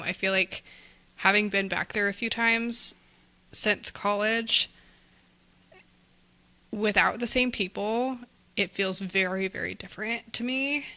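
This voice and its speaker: A woman in her twenties from Texas, co-hosting a podcast in relaxed, casual chat full of likes and ums. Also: medium pitch. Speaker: podcast co-host.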